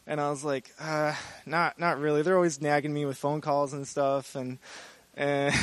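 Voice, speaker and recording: A young man in his twenties from Michigan, a Bible school student giving a personal testimony in an earnest, halting way with many fillers. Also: mid-range voice, no room sound. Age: 20s.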